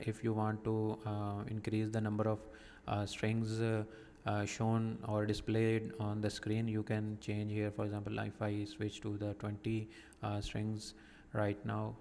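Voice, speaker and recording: A man explaining a software setting step by step in a halting, methodical way, pausing often as he walks through a screen recording. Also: mid-range voice, clean tone, long reverb tail. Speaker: software tutorial presenter.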